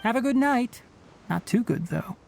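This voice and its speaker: A man's voice: very high voice, lively intonation, normal speed, vocal fry, a little mellow, a little hiss or hum, heavily acted. A man playing a young, knowledgeable forest ranger in a video game, instructive and slightly wry. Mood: calm, practical. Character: young male forest ranger, knowledgeable, dry, gently strict. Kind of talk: video game character acting.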